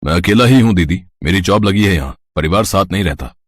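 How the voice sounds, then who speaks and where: Man as easygoing young bachelor, low and husky. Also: expressive, quick pace, no room sound. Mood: friendly. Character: friendly, respectful young bachelor employer. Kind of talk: dramatised Hindi moral story.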